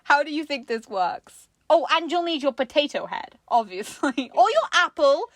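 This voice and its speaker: A woman's voice: high voice, very animated, normal speed, no room sound, studio quality. A woman co-hosting a craft show in casual comedic chat, giggly and playfully sarcastic as she presents.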